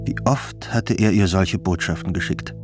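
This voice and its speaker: A man's voice: sits low, normal speed, music in the background. A man narrating a literary radio drama from a script.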